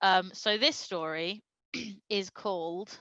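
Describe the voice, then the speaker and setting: A woman's voice: medium pitch, slow, fairly bright tone, no echo, highs cut off. A woman reading a comic story aloud with dramatic storytelling delivery and amused asides. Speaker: reader of comic fan fiction at a live stream show.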